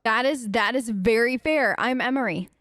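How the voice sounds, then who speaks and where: High-voiced, playful woman gamer. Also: moderate pace, a bit bright, no echo, clean studio sound. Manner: hesitant, playful, reactive. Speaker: gamer, let's play host. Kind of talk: role-play gameplay commentary, casual chat.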